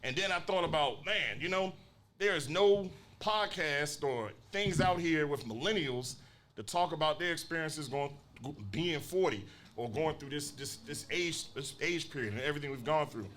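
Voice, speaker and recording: A man in his forties hosts a casual live podcast in front of an audience. His voice is bright and a bit high for a man. He jokes and keeps things upbeat. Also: expressive, moderate pace. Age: 40s. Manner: upbeat, joking, thanks everyone. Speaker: podcast host. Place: brewery taproom with audience.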